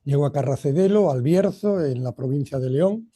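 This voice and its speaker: A man's voice: on the high side, lively intonation, normal speed, dark tone, slightly rough, no echo, clean studio sound. A man gives a motivational training talk in a reflective, personal way, addressing listeners directly. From Argentina, emigrated to Spain as a boy.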